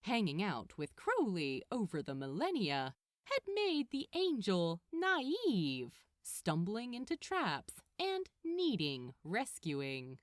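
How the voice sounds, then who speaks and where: A woman narrating a story from a script in an expressive audiobook style, recorded close and dry. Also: mid-range voice, slow pace, no echo. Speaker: audiobook narrator of fan fiction.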